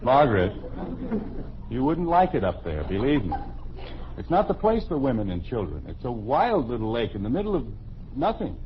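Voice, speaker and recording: A man's voice: medium pitch, sing-song, normal speed, natural voice. An actor playing an exasperated middle-aged family father in a 1950s radio sitcom, blustery and grumbling. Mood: insistent. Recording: dull, noisy old radio recording.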